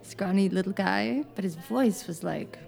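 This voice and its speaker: A woman talks as a podcast guest with a gravelly, fry-tinged voice. She is chatty, laughs a lot and drifts into rambling asides. Faint music plays underneath. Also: mid-range voice, measured pace, a little mellow. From United States (Southern Oregon). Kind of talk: casual chat.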